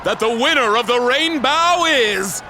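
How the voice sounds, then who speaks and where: An actor playing an excitable, showy male tournament announcer in a video game. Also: a little slow, a little hiss or hum, exaggerated voice. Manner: showy, booming.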